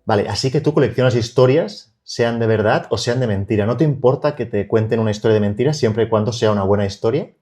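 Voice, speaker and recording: A man with a Spanish accent from Spain, hosting a podcast and asking interview questions in a calm, measured way. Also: on the low side. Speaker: podcast host.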